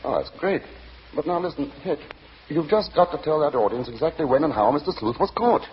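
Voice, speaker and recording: Husky, insistent radio actor. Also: male voice, fairly high pitch, animated, a little fast. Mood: exasperated. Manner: pressing, insistent. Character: exasperated, insistent male radio actor demanding answers. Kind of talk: 1940s radio acting. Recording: dull old radio broadcast.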